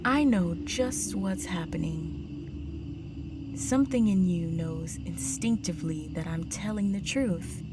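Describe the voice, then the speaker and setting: A seductive vampire countess, acted by a woman for an intimate audio drama, speaks very slowly in a fairly low voice. She is aristocratic, possessive and condescending, teasing her prey and often sinking into breathy whispers. Prominent music plays under her words. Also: lightly acted. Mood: teasing, predatory.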